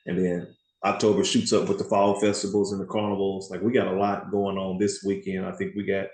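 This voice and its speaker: A man's voice: sits low, monotone, a little fast, darkish tone. Chatty man in an echoey room. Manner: chatty, rambling, laughs often. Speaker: party rental business owner. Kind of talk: casual video call roundtable.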